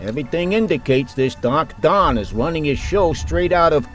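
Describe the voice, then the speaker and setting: A man's voice: on the high side, animated, moderate pace, music in the background, natural voice. A man playing a street-smart, loyal mobster sidekick in a video game, with slangy wiseguy talk. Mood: confiding. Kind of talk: video game cutscene acting.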